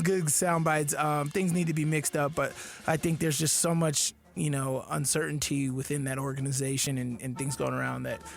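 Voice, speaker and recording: A man co-hosting a sports radio show, talking in halting, repetitive bursts and giving blunt opinions. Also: medium pitch, vocal fry. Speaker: fill-in radio co-host. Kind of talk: casual sports radio chat.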